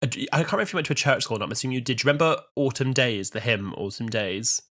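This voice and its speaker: A man's voice: mid-range voice, very fast, a bit bright. A man in his twenties co-hosting a podcast in casual, playful chat, a little sniffly with a cold. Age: 20s. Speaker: podcast co-host.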